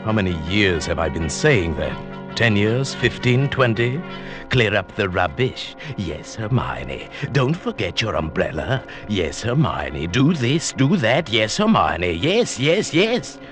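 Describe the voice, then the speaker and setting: An actor playing a meek, henpecked older botany professor in an old-time radio drama, speaking mildly and hesitantly. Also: male voice, lowish voice, sing-song, takes it slowly, music in the background. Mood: resentful. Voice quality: mild, hesitant.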